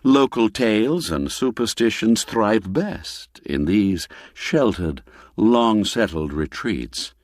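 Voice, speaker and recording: A man narrating a classic spooky tale in a measured, expressive storytelling manner. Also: deep voice, no echo. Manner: measured, expressive storytelling with sweeping rises and falls. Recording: dry studio with faint music.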